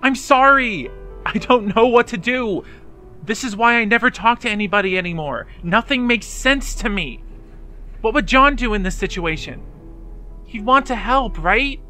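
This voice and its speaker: A man's voice: high-pitched, bright, forceful tone, full character voice. A man playing an anxious, self-blaming young man in a fan dub drama, speaking earnestly and hesitantly. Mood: panicked, pleading.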